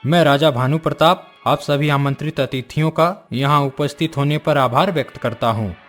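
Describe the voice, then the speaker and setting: A man's voice: fairly high pitch, close to an everyday voice. A man voicing a dignified middle-aged king and father in a cartoon story, speaking in a formal, courtly way.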